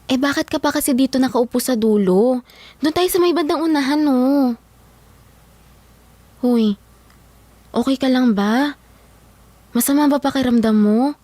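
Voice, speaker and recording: A woman's voice: sits high, unhurried, pauses often, dark tone, no echo. A Filipino woman playing a loyal, exasperated young office worker in an audio drama. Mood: concerned, exasperated.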